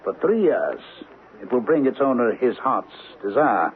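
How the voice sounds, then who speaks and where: An actor playing a grave, fearful British colonial gentleman, urgently and portentously warning his friend in an old-time radio drama. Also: medium pitch, very animated, a little slow. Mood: grave, ominous. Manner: urgent, portentous, insistent, formal phrasing. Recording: noisy, phone-like old radio recording.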